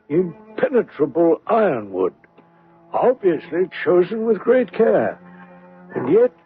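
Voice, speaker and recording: Good-humoured gentleman sidekick, high voice, formal fluttery manner, radio mystery, narrowband muffled sound. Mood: measured narration. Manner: fluttery exclamations, chuckling, formal old-fashioned phrasing. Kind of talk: radio mystery drama acting.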